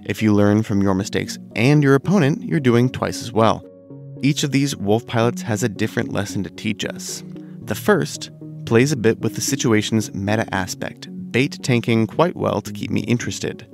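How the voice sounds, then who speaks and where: A man recovering from a cold, narrating a scripted game commentary in a measured, analytical way. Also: fairly low pitch, vocal fry, music in the background. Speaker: gaming video host.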